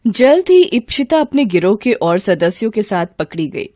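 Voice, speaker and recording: A woman's voice: medium pitch, sing-song, moderate pace, mellow, no room sound, clean studio sound. A woman reading a scripted story as narrator, acting out its dialogue.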